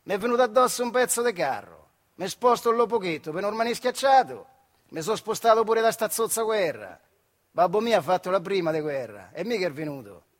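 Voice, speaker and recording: A man acts out a bossy male factory foreman on stage, full of boasts and orders. He speaks emphatically and coarsely in a high male voice with a central Italian Marche accent. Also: big pitch swings, brisk. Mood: boastful. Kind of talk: theatrical monologue in dialect.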